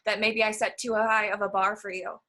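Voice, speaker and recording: A woman's voice: mid-range voice, little pitch movement, fairly quick, bright, forceful tone. A woman acting a defensive, successful older sister in a stage play, argumentative and self-justifying. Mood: defensive, irritated. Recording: live stage recording.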